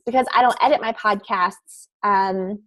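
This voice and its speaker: A woman's voice: mid-range voice, measured pace, a bit bright. A woman in a video call, explaining how to start a podcast in a rambling, casual way, often searching for words. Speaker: podcast guest speaker. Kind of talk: casual how-to chat in an online meeting.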